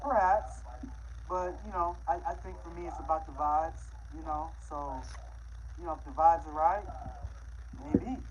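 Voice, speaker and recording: A man livestreaming casually, chatting with viewers in a laid-back, halting way that often trails off. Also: on the high side, muffled recording. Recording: clearly noisy livestream with background ambience.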